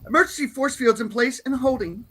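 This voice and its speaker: A woman acting a brisk, dutiful starship bridge officer in an amateur sci-fi script read. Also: high-pitched, fairly bright tone, husky. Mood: focused.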